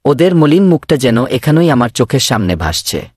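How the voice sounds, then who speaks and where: Casting: man voicing earnest scheming cartoon villager, persuasive, husky, very animated delivery. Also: mid-range voice, moderate pace, dry recording, clean studio sound. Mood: pleading.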